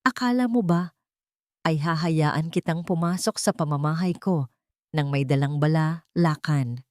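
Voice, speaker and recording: A woman's voice: deep voice, a little slow, no room sound, studio quality. A woman voicing a bitter, defiant wronged wife out for revenge, hard and taunting, in a dramatic audio story. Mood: mocking triumph.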